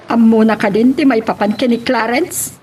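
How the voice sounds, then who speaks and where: A woman playing an older, conciliatory mother in an audio drama, with a husky voice, an Ilocano Filipino accent and a gently advising manner. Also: a little high, steady tone, normal speed, a little hiss or hum, lightly acted.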